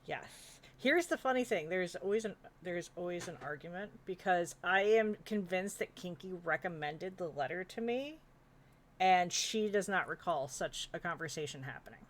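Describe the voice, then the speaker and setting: A woman in casual panel chat, speaking haltingly and correcting herself as she goes. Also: fairly low pitch, lively intonation. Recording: faint background noise.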